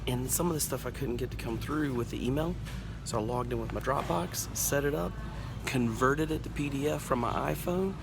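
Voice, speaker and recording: A man's voice: mid-range voice, a little fast, music in the background. A middle-aged man talking casually and folksily to camera as an upbeat family vlogger and volunteer pastor, rambling as he goes. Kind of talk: casual vlog talk to camera. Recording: clear car and street noise behind.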